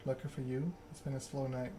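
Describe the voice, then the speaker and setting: Man voicing a bored, monotone vampire enforcer. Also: mid-range voice, a little fast, lightly acted. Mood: calm menace. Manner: calm, slightly bored monotone. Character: tall suave male vampire enforcer, calm, bored, menacing. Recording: clear background ambience noise.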